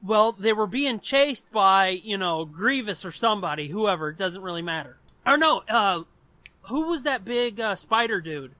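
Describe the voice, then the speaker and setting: A man with a notably high, bright voice talks casually as a podcast host. He is blunt and freely profane, and the recording is dry and close, without room sound. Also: moderate pace, no room sound. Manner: profane, blunt, animated explaining. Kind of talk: casual chat.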